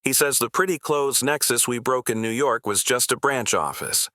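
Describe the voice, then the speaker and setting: An actor playing an earnest, polite, businesslike go-between in a scripted mystery drama. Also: male voice, medium pitch, moderate pace, pushed and bright, dry recording, clean studio sound, lightly acted. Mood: urgent. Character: Benny, earnest, businesslike male go-between and writer.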